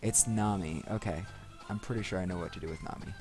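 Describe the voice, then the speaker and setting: Male let's play host, lowish voice, casual rambling delivery, clear music bed from the game. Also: quick pace. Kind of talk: casual video game commentary. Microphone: desk microphone.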